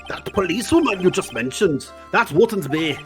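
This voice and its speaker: A man's voice: high voice, big pitch swings, fairly quick, faint music behind. A man voicing an elderly, pompous courtroom judge with a theatrical mixed European accent in a live game fan dub. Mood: stern. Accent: exaggerated mixed European. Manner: theatrical, formal.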